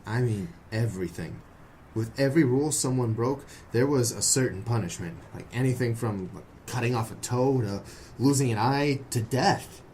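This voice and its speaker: An actor playing an earnest, nervous young man, hesitant and excitable, in a noir audio drama. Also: medium pitch, normal speed, slight background noise, lightly acted. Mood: uneasy, confessing. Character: earnest, nervous young male defector from secret brotherhood.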